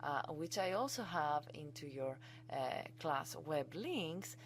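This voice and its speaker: Woman, Greek accent, deep voice, college instructor, eager and informal, screencast lesson. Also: animated, slow, a little hiss or hum. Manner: enthusiastic, chatty and informal. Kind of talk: screencast software tutorial.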